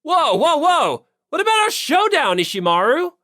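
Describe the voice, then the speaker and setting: An audiobook reader voicing a brash, cocky teenage baseball player boy who shouts and taunts. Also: sits high, big pitch swings, normal speed, pitched above the performer's own voice, full character voice. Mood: indignant, taunting. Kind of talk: audiobook character voice.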